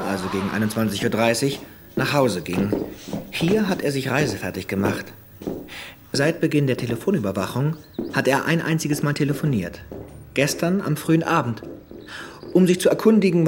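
An actor playing a methodical police investigator in a crime radio drama, reporting in an orderly, factual way. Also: male voice, mid-range voice, big pitch swings, moderate pace, background noise, natural voice. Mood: factual, measured. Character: methodical male police investigator briefing his chief.

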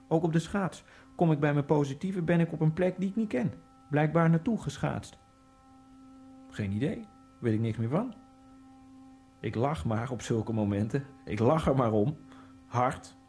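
An actor playing a nervous, wryly ironic Egyptian immigrant, narrating his thoughts in a hushed first-person monologue. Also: medium pitch, gravelly. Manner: hushed, brooding inner monologue.

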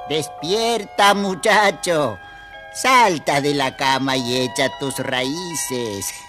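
A jolly storybook sun in a lowish female voice. The speech is very slow and swings widely in pitch, motherly and teasing, over strong music. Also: heavily acted. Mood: jolly, encouraging. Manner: playful, teasing, laughing often between phrases. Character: jolly, motherly personified sun cheering on small ones. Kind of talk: children's audio storybook acting.

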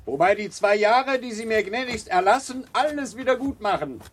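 Army major, forties, radio play: urbane, flirtatious, gallant, with insinuating charm. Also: male voice, sits high, lively intonation, moderate pace, husky. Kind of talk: German literary radio drama acting.

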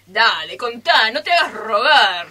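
A woman's voice: fairly high pitch, sing-song, unhurried, bright, forceful tone, full character voice. A woman with an Argentine accent acting an impatient, mocking friend in amateur radio theatre, insistent and needling.

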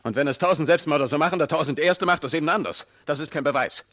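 An actor playing a cornered murder suspect in a radio crime drama, defensive, indignant and quick to protest. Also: male voice, a little high, fairly bright tone, lightly acted. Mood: indignant, agitated.

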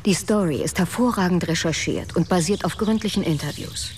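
An actress as a cool, low-voiced woman investigator. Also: brisk, a little hiss or hum, natural voice. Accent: standard German. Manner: probing, dry. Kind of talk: German radio drama acting.

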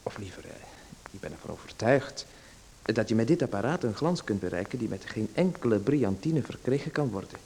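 A man's voice: on the low side, animated, brisk, dark tone, slight background noise, low-quality recording. An actor playing a polite, hesitant man who stammers while a salesman pushes him. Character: polite, hesitant well-known man being sold to. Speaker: radio drama actor. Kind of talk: radio play acting.

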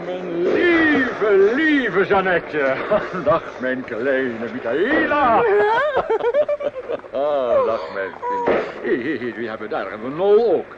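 A man's voice: huge pitch swings, takes it slowly, full character voice. An actor playing a vain, jovial opera singer, fatherly and affectionate, speaking theatrically in a radio play. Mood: effusive, affectionate. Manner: booming endearments, chuckling, theatrical. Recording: muffled noisy radio play recording with outdoor ambience.

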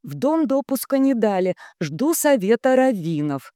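A woman's voice: a little high, very animated, unhurried, mellow, no echo, studio quality, voice pushed up. A woman voicing a composed, businesslike male shinobi envoy.

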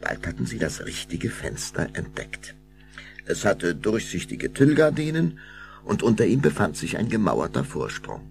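A deep-voiced man narrates a children's story expressively. His voice is gravelly and swings widely in pitch, over clear background noise. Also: big pitch swings, a little slow. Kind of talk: scripted children's audio drama narration.

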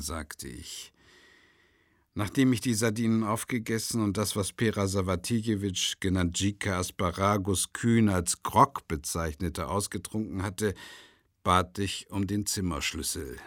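Weary traveling lecturer, dryly recorded. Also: male voice, lowish voice, a little slow, dry recording, studio quality. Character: weary, polite male traveling lecturer narrating his own mishaps. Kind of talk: radio drama narration and dialogue.

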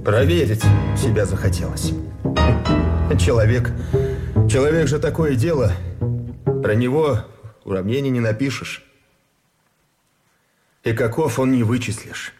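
An actor playing a frightened, gentle village teacher turned soldier in a radio drama, speaking haltingly and plaintively. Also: male voice, mid-range voice, animated, lightly acted. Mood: wistful. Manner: halting, plaintive, repeats phrases. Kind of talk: Russian radio drama acting. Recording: music behind the voice.

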